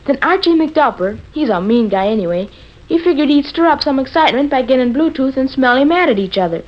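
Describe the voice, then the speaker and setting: Chatty american kid, high voice, slangy n argumentative, old muffled radio show. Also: lively intonation, a little fast. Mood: earnest storytelling. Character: talkative, literal-minded young boy, quibbling son. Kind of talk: 1940s radio comedy acting. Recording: dull old radio broadcast recording.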